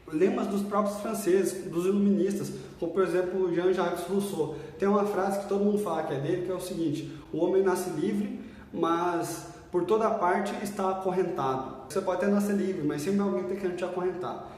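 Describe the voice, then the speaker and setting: A man's voice: high-pitched, darkish tone. A Brazilian history teacher giving an exam-prep video lesson in a casual, chatty way, often checking in with his students. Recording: echoey room, mic far off.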